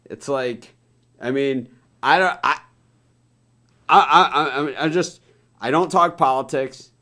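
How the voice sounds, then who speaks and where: A male talk show host with an average-pitched voice chats loosely about sports. He rambles and banters in a joking, informal way. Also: a little slow, pushed and bright.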